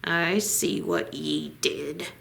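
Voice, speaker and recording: A woman's voice: low-pitched, very animated, extremely slow, creaky, fairly bright tone, pitched below the performer's own voice, full character voice. A woman voicing a grumpy old seaside man with salty, firm, muttering talk in a storybook reading. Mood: gruff, softer. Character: gruff, grumpy old seaside man who wants solitude.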